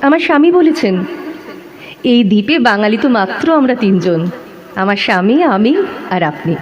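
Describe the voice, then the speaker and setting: An actress playing a forthright, curious young married woman in an audio play, with a conversational, expressive manner. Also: a little high, normal speed, muffled recording, lightly acted. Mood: curious, friendly. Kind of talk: audio drama dialogue.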